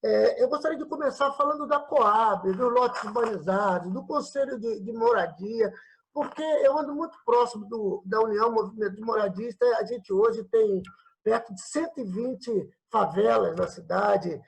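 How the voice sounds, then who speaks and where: High-voiced, husky Brazilian man hosting. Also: normal speed, low-quality recording. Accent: Brazilian, São Paulo state. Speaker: host of an online political and cultural talk show. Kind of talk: casual, friendly interview.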